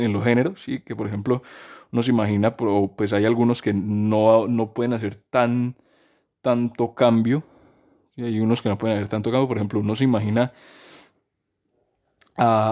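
A man's voice: medium pitch, darkish tone. Rambling man chatting about music. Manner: rambling, many restarts and fillers. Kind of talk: casual monologue about music. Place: home during a power cut.

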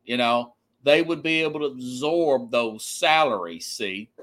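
A man's voice: mid-range voice, unhurried, fairly bright tone. A man hosting a sports podcast, giving an opinionated, conversational monologue and repeating phrases for emphasis.

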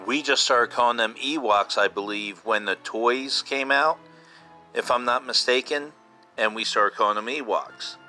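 A man's voice: mid-range voice, measured pace, bright, forceful tone, faint music behind. A man in his fifties hosting a casual solo podcast, chatting like an enthusiastic fan and repeating himself for emphasis. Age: 50s. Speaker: podcast host.

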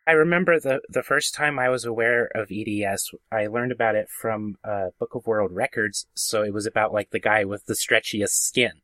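A man's voice: fairly low pitch, normal speed, few pauses, fairly bright tone, dry recording. A man co-hosting a podcast, chatting informally and a little tentatively. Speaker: podcast co-host. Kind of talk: casual chat.

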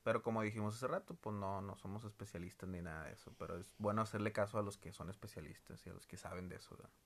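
A man's voice: fairly low pitch, fast talker. Easygoing podcast co-host man. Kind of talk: casual podcast chat.

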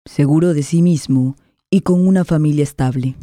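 A woman's voice: deep voice, moderate pace, no room sound, studio quality. A woman giving a dramatic scripted narration of a radio play. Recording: soft guitar music under voice.

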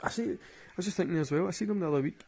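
A man's voice: sits high, very fast, gravelly, a little mellow, breathy edge. A man with a Glaswegian Scottish accent, rambling and swearing through casual stories and laughing at his own jokes. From Glasgow, Scotland. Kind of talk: casual storytelling monologue.

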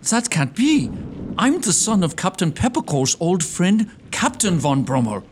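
A man's voice: high voice, very animated, a little slow, background noise, voice pushed up. A man voicing a naive, flustered young suitor who stammers and protests in a comic gothic audio drama.

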